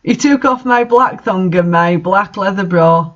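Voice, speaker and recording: Man, high voice, slow pace, mocking fan-fiction read-aloud, sarcastic, muffled lo-fi sound. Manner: incredulous, sarcastic asides. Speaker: reader and commentator of bad fan fiction.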